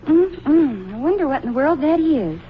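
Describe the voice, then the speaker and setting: Wry sitcom mother, high lilting voice, patient, teasing, vintage radio comedy, muffled noisy recording. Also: sing-song, moderate pace. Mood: motherly, suspicious. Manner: dryly teasing. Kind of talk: 1940s radio comedy acting.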